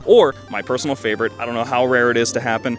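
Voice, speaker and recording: A man's voice: medium pitch, steady tone, racing, faint music behind. A man giving casual, rambling video commentary as he reviews games, with dry joking asides and frequent self-interruptions. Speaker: video creator reviewing fan-made games.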